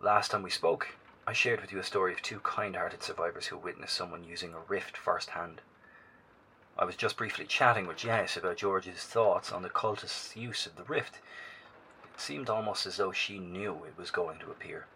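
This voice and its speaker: An actor playing an earnest professor in a horror audio drama, broadcasting to survivors in a measured, formal, grave manner. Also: male voice, low-pitched, bright, forceful tone, slight background noise, poor audio. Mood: earnest, troubled.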